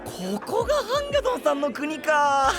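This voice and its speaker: An excitable young male knight for an anime audio drama. He sounds boyish, trusting and casual, with a very lively pitch range. Light music sits behind him. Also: moderate pace, heavily acted. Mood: eager wonder.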